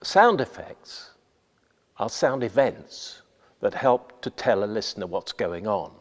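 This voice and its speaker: A man giving scripted instructional narration as a radio playwright, measured and deliberate with emphatic phrasing. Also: lowish voice, expressive, big-room sound.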